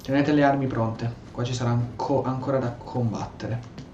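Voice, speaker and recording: A man's voice: mid-range voice, fairly even intonation, brisk, darkish tone, dull audio, a little hiss or hum. A man with an Italian accent giving casual, role-play gameplay commentary, stammering and repeating his words. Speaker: gamer, let's play host. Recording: echoey room, game music behind. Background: video game music.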